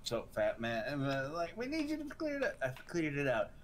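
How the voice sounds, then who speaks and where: Low, playful woman teasing. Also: huge pitch swings, moderate pace, slight background noise. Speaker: co-host watching along. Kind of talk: casual banter.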